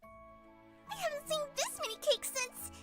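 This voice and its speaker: Bubbly cartoon pony girl, extremely high, wildly animated, loud music behind. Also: unhurried, full character voice. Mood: nosy, excited. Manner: bubbly, playful. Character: excitable, nosy young female cartoon pony princess.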